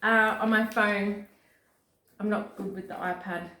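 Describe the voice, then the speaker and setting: A woman with a croaky voice, hosting a rambling, casual chat full of fillers. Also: medium pitch, steady tone, unhurried, bright, forceful tone, echoey room. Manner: chatty, rambling with many fillers. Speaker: host.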